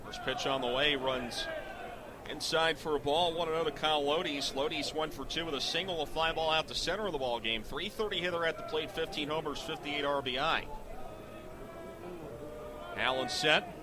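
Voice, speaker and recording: A middle-aged man calling baseball live on the radio as play-by-play announcer, in clipped descriptive phrases that rise into excited calls. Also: fairly high pitch, normal speed, background noise. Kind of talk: live radio sports commentary.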